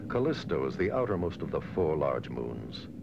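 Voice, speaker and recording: A man reading scripted documentary narration in a measured, formal way, on a dated soundtrack. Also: rumbling low, sing-song, gravelly, background noise. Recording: dull, dated lo-fi film soundtrack. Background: music.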